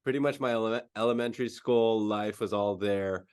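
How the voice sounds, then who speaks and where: A man with a fairly low voice, originally from the Philippines, is a guest on a podcast. He speaks in a casual, informal way with some hesitation. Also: fairly quick, clear, resonant tone. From Philippines, moved to California as a child. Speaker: podcast guest, mobile home renovation business owner. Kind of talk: casual chat.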